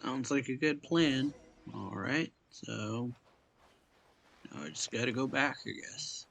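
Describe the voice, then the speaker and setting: Slow, creaky-voiced laid-back male streamer. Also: a bit bright, a little hiss or hum. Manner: low-key, hesitant, trailing off. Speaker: game streamer reacting to cutscenes. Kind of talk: casual, off-the-cuff commentary.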